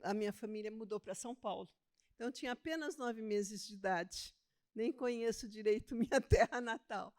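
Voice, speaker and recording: An older woman from Brazil's Northeast, a theologian and author, speaking as a guest in a relaxed interview, self-deprecating and quick to laugh. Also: a little high. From Northeast Brazil (Pernambuco). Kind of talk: conversational interview.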